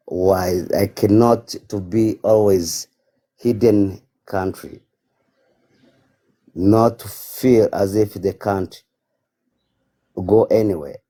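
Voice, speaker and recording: Man, very deep pitch, extremely mellow dark tone, halting unscripted caller. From Africa. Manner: halting, searching for words, non-fluent phrasing. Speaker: caller on a live stream. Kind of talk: casual, unscripted political talk.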